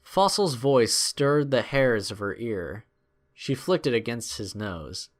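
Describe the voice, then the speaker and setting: A man narrating a scripted adventure story in a measured, expressive, dramatic storytelling style. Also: medium pitch.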